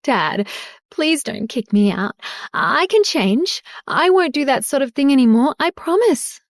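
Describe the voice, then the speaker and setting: A woman voicing a heartbroken young woman pleading with her father in a high, tearful voice. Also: animated, a little slow, no echo, studio quality.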